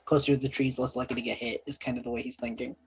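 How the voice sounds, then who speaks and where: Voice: mid-range voice, little pitch movement, very fast. A tabletop game player chatting casually and loosely describing what their character does. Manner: loose, describes character's actions in third person. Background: background music.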